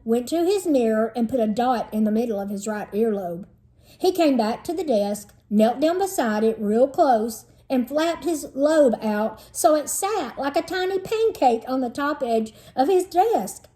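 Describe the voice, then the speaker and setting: A woman's voice: sits high, lively intonation, a little slow, dark tone. A woman teacher reading a children's storybook aloud in an explanatory manner meant for young listeners.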